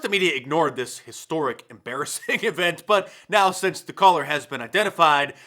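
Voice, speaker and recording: A man's voice: a little high, pushed and bright. A man hosting political commentary in a sarcastic, mocking and emphatic way. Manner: mocking, emphatic, chuckles through points.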